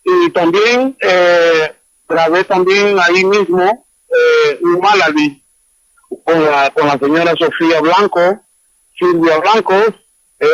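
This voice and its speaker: A man's voice: on the high side, a bit bright, no echo. A man from Honduras, a guest musician, telling his story casually in an online class interview, rambling with many fillers over a remote call. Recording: dry, dull lo-fi call audio.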